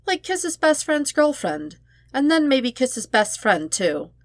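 A woman's voice: high voice, big pitch swings, unhurried, no room sound, studio quality. A woman reading scripted fiction expressively as an audiobook-style narrator. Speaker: podfic narrator.

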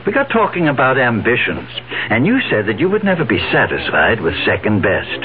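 An actor playing an assured, genial older company chief in a radio drama, formal and measured. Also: male voice, mid-range voice, huge pitch swings. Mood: genial, confident. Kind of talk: 1970s radio drama acting. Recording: tinny, muffled 1970s radio recording.

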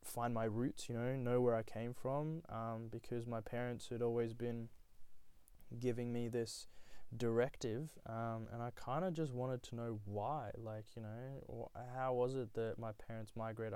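A man's voice: mid-range voice, level tone, normal speed, dark tone, clear, resonant tone, dry recording. A young man from Nepal, telling his own story in a reflective, rambling way with many fillers. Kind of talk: casual personal storytelling.

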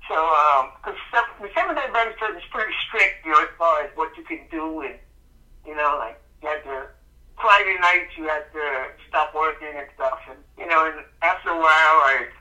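Male comedian guest, middle-aged, raised in the Bahamas; rambling, bright-toned, muffled call audio. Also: on the high side, normal speed. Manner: rambling, many restarts and fillers. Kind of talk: casual interview.

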